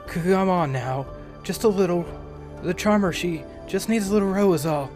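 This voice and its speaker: A croaking, frail old granny, played by a male narrator, coaxes and pleads with needy desperation. The voice sits raised in pitch, a storybook crone with music playing behind. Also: normal speed, natural voice. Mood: wheedling, desperate. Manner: rattling, coaxing, pleading. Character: wheedling frail old woman, a desperate addict.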